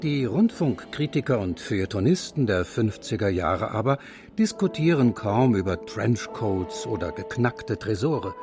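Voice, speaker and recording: A man narrating a scripted radio feature with a lively, slightly wry delivery. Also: on the low side. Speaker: documentary narrator.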